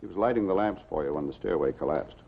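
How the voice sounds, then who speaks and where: Gruff low-voiced American police captain. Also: male voice, animated, quick pace, heavily acted. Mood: matter-of-fact. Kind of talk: 1950s television drama acting. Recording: dull, muffled 1950s television soundtrack.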